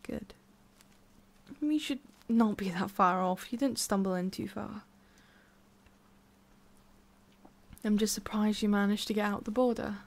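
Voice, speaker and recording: A woman plays a centuries-old witch of the forest, talking straight to the listener in an ASMR roleplay. Her voice sits at an ordinary female pitch with plenty of fry. She is prickly and haughty on top, softer underneath, and muses haltingly as she goes. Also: lightly acted. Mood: gently guiding.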